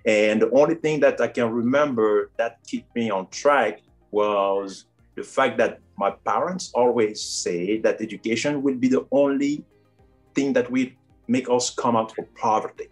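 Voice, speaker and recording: A man's voice: mid-range voice, a little slow, faint music behind. A man in his forties from Cameroon with a Cameroonian French accent, answering interview questions earnestly with frequent fillers. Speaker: airline first officer, guest.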